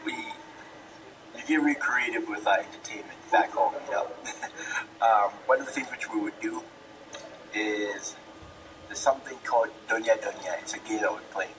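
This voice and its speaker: A man's voice: lowish voice, normal speed, long pauses. A young man from Zambia answering interview questions casually, with many ums and the odd laugh. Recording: distant mic, noisy ambience.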